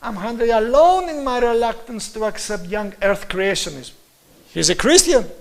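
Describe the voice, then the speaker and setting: High-pitched Greek-accented male Bible lecturer. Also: expressive, creaky. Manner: emphatic, repeats key words, halting English. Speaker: Bible teacher giving a presentation. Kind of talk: religious lecture on scripture.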